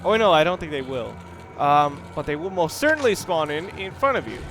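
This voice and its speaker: A man's voice: on the high side, big pitch swings, brisk, background noise. A man giving casual let's play commentary, rambling and thinking aloud as he explains what he is doing. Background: game music and sounds.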